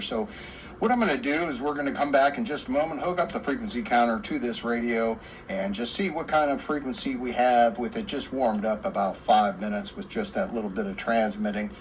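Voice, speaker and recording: Male technician-presenter, average pitch, casual and rambling hands-on commentary with some background noise. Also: low-quality recording. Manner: rambling, repetitive, enthusiastic about the equipment.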